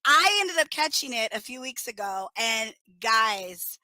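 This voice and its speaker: A woman hosting a podcast, hoarse and chatting in a rambling, casual way. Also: on the high side, sing-song, measured pace, pushed and bright, dry recording, poor audio. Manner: chatty, rambling, lots of restarts and fillers. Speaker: podcast host.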